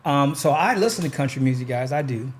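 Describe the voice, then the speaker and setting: A man hosting a podcast, talking in a relaxed, rambling way with lots of pauses and fillers. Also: mid-range voice. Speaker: podcast host. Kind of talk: casual chat.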